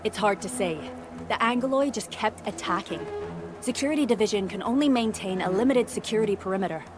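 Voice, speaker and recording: A woman acting a dutiful female base director in a sci-fi game. She has a mid-pitched voice and talks in a polite, deferential, expressive way. Music plays clearly behind her. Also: brisk, natural voice. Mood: apologetic, explanatory.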